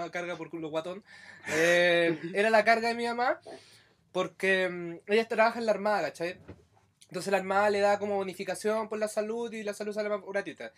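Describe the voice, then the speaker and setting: A man's voice: high-pitched, fairly quick, a bit bright. A young Chilean man in his twenties, co-hosting a podcast in casual, laughing chat, swearing and getting exasperated. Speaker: podcast co-host.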